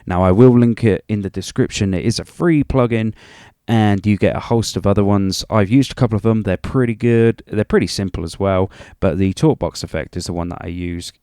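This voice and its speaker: A man's voice: on the low side, brisk, mellow, smooth, no echo, clean studio sound. A man giving an informal music production tutorial in a rambling, chatty and self-deprecating way. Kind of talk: informal software tutorial narration.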